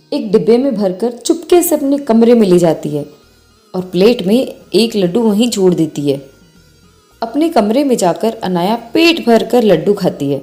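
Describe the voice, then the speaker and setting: A woman's voice: mid-range voice, dark tone. A woman storyteller reading a scripted bedtime moral story. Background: faint music.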